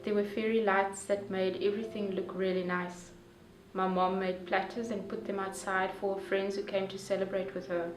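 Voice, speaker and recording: A young woman reading a first-person story aloud in a careful, measured way. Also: medium pitch, little pitch movement. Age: young adult. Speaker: youth reader. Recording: distant echoey room with soft music.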